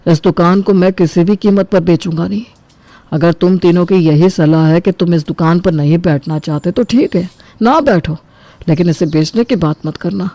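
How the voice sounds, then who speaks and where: A woman's voice: fairly low pitch, moderate pace, warm tone, dry recording, close to an everyday voice. A woman voicing a principled, sentimental middle-aged shopkeeper, the eldest brother, speaking earnestly and firmly in a cartoon family story. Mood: hurt, firm. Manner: earnest, firm. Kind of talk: acted dialogue in a Hindi cartoon family story.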